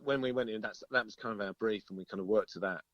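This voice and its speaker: A man speaks as a musician guest in a relaxed interview. His voice sits slightly low, and he talks haltingly, circling back over his words. The recording is dry but dull, like a lo-fi call. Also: dry recording. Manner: hesitant, halting, self-repeating. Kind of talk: casual interview chat.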